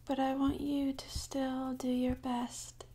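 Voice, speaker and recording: A woman speaking softly and half-whispering in a gentle, soothing, unhurried way, as an ASMR guide leading a sleep meditation. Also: high voice, monotone. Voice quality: soft, breathy, half-whispered.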